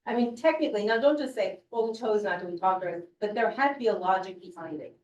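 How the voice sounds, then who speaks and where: A woman with a loud voice giving a confrontational, rambling public comment at a community meeting. She clears her throat often. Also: mid-range voice, animated, quick pace, highs cut off. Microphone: room mic from across the room. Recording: echoey room, far from mic.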